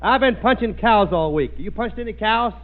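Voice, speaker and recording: A man's voice: sits high, expressive, moderate pace, phone-quality sound, a little hiss or hum. A man playing a boastful tough cowboy in a 1940s radio comedy sketch. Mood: taunting. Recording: band-limited old radio, loud audience.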